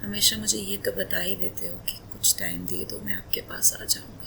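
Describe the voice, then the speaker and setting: A woman playing an affectionate, playful, devoted wife in an intimate romantic ASMR roleplay, speaking tenderly in a breathy half-whisper with light teasing. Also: medium pitch, big pitch swings, moderate pace. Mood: tender, grateful. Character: affectionate, playful, devoted Indian wife adoring her husband. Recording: faint background noise.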